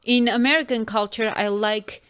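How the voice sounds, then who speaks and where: A young woman from Armenia co-hosting a podcast, speaking earnestly and thoughtfully, sometimes pausing with hesitant fillers. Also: on the high side, steady tone, a bit bright, clean tone. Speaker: podcast co-host. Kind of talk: casual chat.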